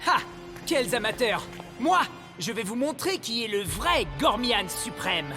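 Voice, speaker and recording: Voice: mid-range voice, very animated, unhurried, over music. A performer voicing a proud young boy knight of ice in a French cartoon dub, superior and dismissive. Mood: haughty.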